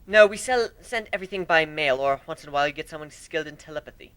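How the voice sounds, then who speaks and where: A man's voice: a little high, fast talker, a bit bright, smooth, dry recording, natural voice. A man voicing a blunt, matter-of-fact female pirate crew member in a storybook reading. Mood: blunt, practical.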